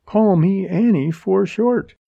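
Man putting on a sassy, high-pitched young dame. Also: takes it slowly, gravelly, warm tone, dry recording, lo-fi sound, heavily acted. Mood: mocking. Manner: sneering, sarcastic, wisecracking. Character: brassy, defiant, slangy young woman in danger. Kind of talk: dramatized audiobook dialogue.

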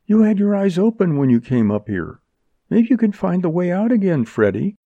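Man putting on a desperate high-pitched young woman. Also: lively intonation, unhurried, gravelly, no echo, narrow, tinny audio, full character voice. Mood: pleading, urgent. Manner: urgent, imploring. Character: desperate, fiery young woman pleading for rescue.

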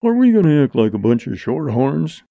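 A man voicing rough, rowdy Western outlaws who taunt and challenge, in an audiobook. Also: medium pitch, big pitch swings, moderate pace, dark tone, dry recording, lo-fi sound, voice pushed up. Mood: rowdy, demanding. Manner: brash, taunting.

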